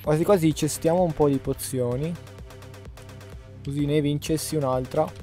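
A man's voice: fairly high pitch, fairly even intonation, moderate pace, mellow. A man with an Italian accent giving casual gameplay commentary while playing with friends. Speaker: gaming YouTuber. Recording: faint music behind.

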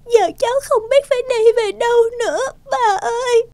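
A woman voicing a gentle, kind young orphan girl in a cartoon fairy tale, plaintive, earnest and polite. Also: very high voice, fairly even intonation, moderate pace, no room sound. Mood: tearful.

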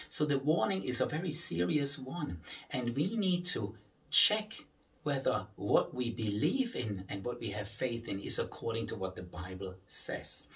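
A middle-aged man, a church pastor, giving an earnest, measured message. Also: medium pitch, fairly bright tone.

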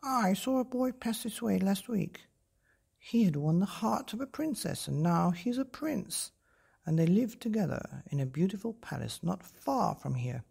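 A man doing a high cawing crow. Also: sing-song, normal speed, vocal fry, darkish tone, husky, heavily acted. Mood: friendly.

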